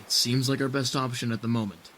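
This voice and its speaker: A man's voice: medium pitch, fairly even intonation, quick pace, fairly bright tone, a little hiss or hum, natural voice. An actor playing an earnest, determined man who insists on pressing ahead, in an audio drama.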